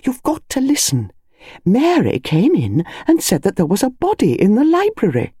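An upper-class English country wife in a mystery audiobook, voiced by a woman. She speaks high and with lively swoops, insisting urgently and emphatically on being believed. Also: normal speed, husky, no room sound, clean studio sound, heavily acted. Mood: urgent insistence.

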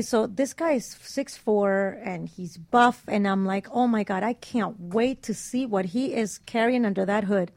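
A woman in candid, bawdy casual chat, telling personal anecdotes in an animated way. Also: medium pitch, vocal fry, no room sound.

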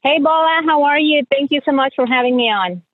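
A woman's voice: high voice, fairly quick, no echo. Mexican-accented woman, muffled dry recording. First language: Spanish. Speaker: podcast guest, financial coach and journalist. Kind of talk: conversational personal storytelling.

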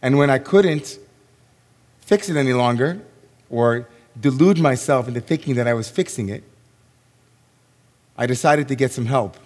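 A man, a rabbi, giving a sermon in a slow, reflective way with long pauses and a voice gone hoarse. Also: medium pitch, a little mellow. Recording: long hall reverb.

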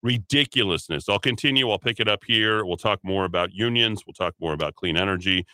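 A man's voice: on the low side, a little fast, bright, forceful tone, no echo. A man hosting a talk radio show, opinionated, reading items aloud and mocking them, taking calls. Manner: opinionated, reads quotes aloud and mocks them. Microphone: studio broadcast mic.

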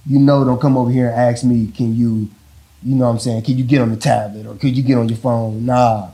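Deep voiced dad just chatting, kinda rambly, warm mellow tone. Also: male voice, brisk. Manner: relaxed, rambling, repeats "you know what I'm saying". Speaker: father in a couple interview. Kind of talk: casual talk about parenting.

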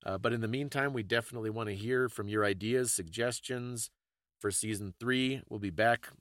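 A man's voice: on the low side, moderate pace, fairly bright tone, dry recording, studio quality. A man co-hosting a podcast, talking in a reflective, explanatory, conversational way. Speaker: podcast co-host.

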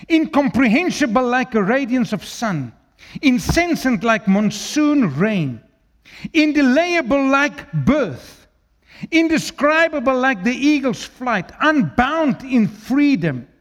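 A male preacher with an Afrikaans accent and a fairly high, animated voice that jumps all over its range. He moves from ringing, declaimed lines down to hushed, low asides. His first language is Afrikaans. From South Africa. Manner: swings from loud declaiming to quiet, low asides. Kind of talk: sermon.

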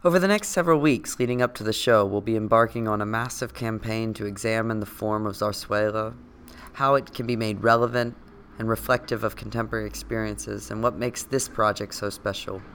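A man hosting a podcast, hoarse from a cold, reading a scripted intro and then asking questions with many fillers. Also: on the low side, steady tone, brisk, fairly bright tone. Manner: hesitant with many fillers when unscripted. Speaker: podcast host.

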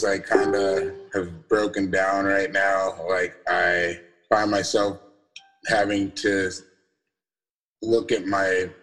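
A young man with a deep voice giving a casual, halting personal talk on a video call, full of fillers and restarts. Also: fairly bright tone, rough recording. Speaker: event speaker.

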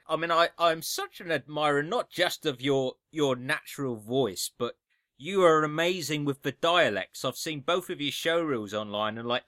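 A man's voice: on the high side, bright, forceful tone, no room sound. A man hosting a podcast interview, asking questions in an admiring, enthusiastic way. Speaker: podcast host.

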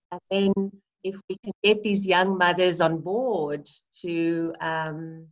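A middle-aged South African woman, a native Afrikaans speaker, talks in an interview with earnest enthusiasm, often restarting her words. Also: medium pitch. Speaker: interviewee, early childhood movement practitioner. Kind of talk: heartfelt conversational interview. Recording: slightly dull lo-fi audio.

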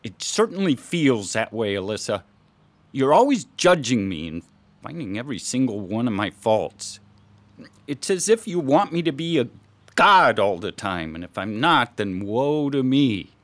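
A man acts a middle-aged academic husband in a radio drama, touchy and defensive. His mid-pitched voice swings widely in intonation. The sound is dry, with little room around it. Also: big pitch swings, unhurried, fairly bright tone, no echo. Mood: irritated, defensive.

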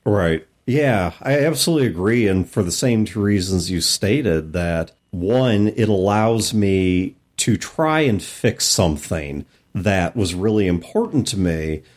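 A man's voice: low voice, lively intonation, measured pace, few pauses, a little mellow. A man co-hosting a podcast, chatting casually and informally about tabletop games. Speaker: podcast co-host.

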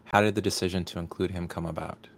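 A man moderating an informal Q&A, reading out questions and speaking a little haltingly between them. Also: low voice, steady tone.

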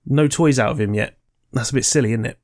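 A man co-hosting a casual film-review podcast, chatty and jokey. He swears freely and ribs his co-host as he talks. His voice sits at an everyday middle pitch. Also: fast, darkish tone. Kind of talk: casual chat.

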